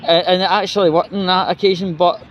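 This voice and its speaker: A Scottish man rambling casually as he vlogs outdoors, sweary and irreverent, with halting pauses. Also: high voice, little pitch movement, lo-fi sound. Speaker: vlogger. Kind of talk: rambling casual walking vlog, chatty. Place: outdoors on a city street. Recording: noisy outdoor street with traffic.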